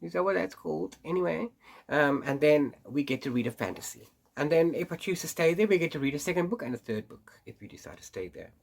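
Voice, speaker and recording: A man's voice: fairly high pitch, animated, quick pace, vocal fry. A man who hosts a book channel, chatting casually to camera in a rambling way, full of restarts and little laughs, while congested and sniffly from hay fever. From South Africa. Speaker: book vlogger.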